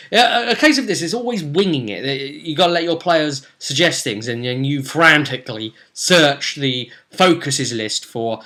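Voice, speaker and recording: A young man casually recounting a tabletop game session as game master, rambling and halting as he goes. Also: medium pitch, a bit bright. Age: young adult. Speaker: tabletop role-play game master, vlogger. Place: small room at home, indoors.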